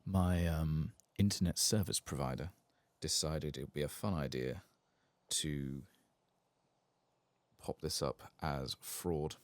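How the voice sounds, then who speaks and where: A man giving casual, rambling, wry and sweary soft-spoken ASMR commentary on a game, close to the mic. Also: very deep voice, slow pace, no echo. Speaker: host of a game playthrough channel.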